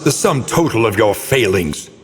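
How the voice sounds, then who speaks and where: An actor playing a grave, brooding older scholar who narrates a gothic game in a solemn, portentous, theatrical way. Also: male voice, on the low side, big pitch swings, moderate pace, a little hiss or hum. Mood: solemn, commanding. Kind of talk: scripted dramatic game narration. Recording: long cavernous reverb on voice.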